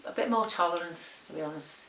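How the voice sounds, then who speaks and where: A woman's voice: on the low side, brisk, fairly bright tone. A woman, answering unscripted interview questions. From Liverpool, England. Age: 70s. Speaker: documentary interviewee. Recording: roomy sound with faint hiss.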